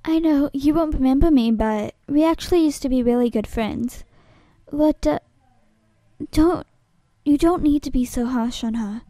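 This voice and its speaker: Woman acting gentle, high-voiced Savannah. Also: normal speed, dry recording, natural voice. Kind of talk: amateur voice-over audition acting.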